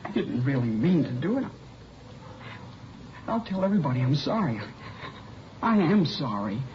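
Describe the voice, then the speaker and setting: A man's voice: fairly high pitch, very animated, moderate pace. Casting: an uneasy young man under questioning, evasive and pleading, on a noisy 1950s radio recording. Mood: distraught, pleading. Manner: defensive, faltering, pleading. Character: frightened evasive young man cornered as a suspect. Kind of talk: 1950s radio crime drama acting. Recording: muffled vintage radio recording, clear hiss.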